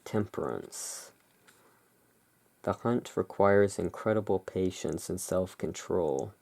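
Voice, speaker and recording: ASMR reviewer, very unhurried and musing, with a crackly vocal fry. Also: deep voice, lively intonation, a little mellow. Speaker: tarot reviewer in a roleplay as emporium owner. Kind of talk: relaxed, unscripted show-and-tell commentary.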